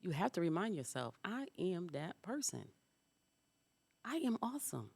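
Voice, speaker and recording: A woman's voice: fairly low pitch, sing-song, moderate pace, gravelly, a little mellow, husky, no room sound. A woman in her fifties co-hosting a podcast, casually agreeing and sharing her own experiences. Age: 50s. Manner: agreeable, frequent affirmations. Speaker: podcast co-host. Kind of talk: casual chat.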